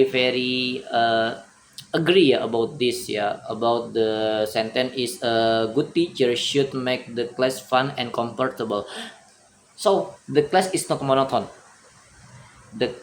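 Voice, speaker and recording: Young indonesian guy, english w/ his accent, reads his answers then riffs on them, slow, keeps restarting, bit of faint noise behind. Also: medium pitch. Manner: halting, repeats and restarts words. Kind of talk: reading answers aloud and adding own comments.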